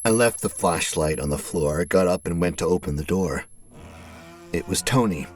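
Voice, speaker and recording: A man's voice: low voice, moderate pace. A man reading a first-person story as a dramatic scripted narration. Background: faint music.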